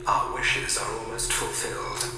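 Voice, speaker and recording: An actor playing an ancient ancestral spirit, solemn, formal and without emotion, in a game. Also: male voice, lowish voice, monotone, unhurried, natural voice. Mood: solemn, detached. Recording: cavernous echoing hall, music behind.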